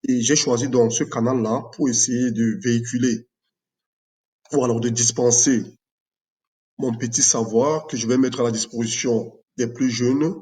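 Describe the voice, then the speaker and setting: A man from Cameroon, a math teacher presenting his own project in an unscripted video, speaking in a measured way with many fillers. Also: medium pitch, steady tone, a little mellow.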